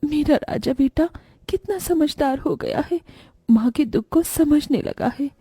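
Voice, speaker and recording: A woman's voice: high-pitched, measured pace, mellow, no room sound, studio quality, soft background music. A woman voicing a poor, hardworking mother in a cartoon story, loving and earnest, with an emotional delivery. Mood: tender.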